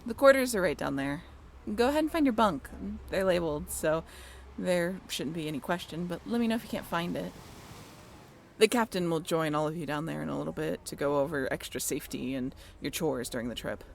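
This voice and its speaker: A woman's voice: low-pitched, expressive, brisk, vocal fry, slight background noise. A young woman playing a friendly, teasing sailing-ship crew member in an amateur audio roleplay, chatty and informal with a joking streak. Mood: fond, casual. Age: young adult.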